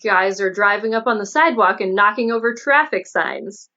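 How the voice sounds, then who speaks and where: A woman's voice: mid-range voice, lively intonation, moderate pace, bright, forceful tone. A woman voicing a matronly older policewoman in an audiobook, giving motherly, admonishing advice. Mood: motherly warning. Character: matronly, cautioning older policewoman, veteran investigator. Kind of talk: audiobook character dialogue. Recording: dull lo-fi audio.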